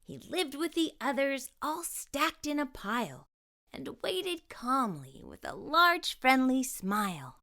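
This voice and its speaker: A woman's voice: high-pitched, sing-song, takes it slowly, vocal fry, bright, forceful tone. A woman narrating a children's storybook expressively, enunciating for young listeners as a storytime host.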